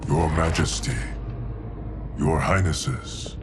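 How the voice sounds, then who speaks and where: An actor playing a stately guardian of time in a fighting game, formal and deliberate. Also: male voice, rumbling low, steady tone, over music. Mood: solemn. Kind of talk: cinematic game exposition.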